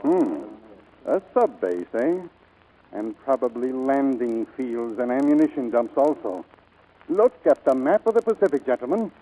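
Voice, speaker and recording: Authoritative, mellow-voiced Navy admiral. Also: male voice, mid-range voice, big pitch swings, a little slow, rough recording. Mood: grave. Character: authoritative, grave older male Navy admiral. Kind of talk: 1940s radio drama acting. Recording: vintage 1940s radio recording.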